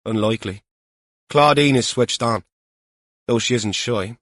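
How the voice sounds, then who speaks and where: A man's voice: mid-range voice, measured pace, fairly bright tone, dry recording, clean studio sound. A man voicing a seasoned, colloquial police officer with a wry, emphatic manner in an audiobook. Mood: matter-of-fact.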